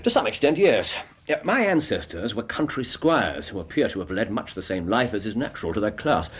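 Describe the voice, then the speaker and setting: An actor playing a brilliant, self-assured English gentleman detective in a radio drama, lecturing precisely with theatrical emphasis. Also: on the low side, big pitch swings, brisk, breathy edge.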